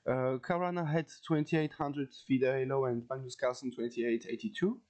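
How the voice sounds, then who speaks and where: Halting Frenchman teaching, French accent. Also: medium pitch, steady tone, a little mellow. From France. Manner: halting, searching for words, repeats phrases. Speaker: chess teacher, video host. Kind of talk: informal instructional commentary.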